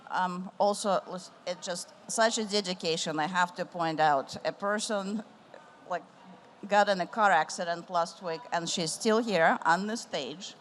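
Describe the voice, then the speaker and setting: A middle-aged woman with a somewhat low voice hosts a panel on a conference stage. She talks warmly and loosely, circling back on her phrasing. Faint room noise sits behind her. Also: unhurried. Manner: warm, rambling, with restarts. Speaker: panel moderator. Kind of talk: live panel discussion.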